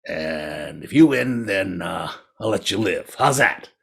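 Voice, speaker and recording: A smug, hungry storybook tiger, played by a man, pitched low with a husky rasp. He mutters and drawls lazily, a slow-witted predator sure of himself. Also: animated, full character voice.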